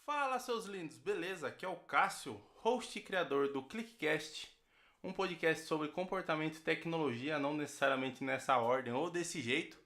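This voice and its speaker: A man's voice: fairly high pitch, normal speed, fairly bright tone. A Brazilian man, a podcast host, giving a casual recorded testimonial as a guest. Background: music.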